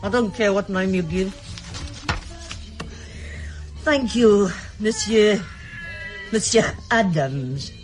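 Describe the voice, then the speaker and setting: Surly hotel clerk, high voice, slow delivery, grudging, radio drama, loud music behind. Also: male voice. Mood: curt, grudging. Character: curt, grudging male clerk of a shabby hotel.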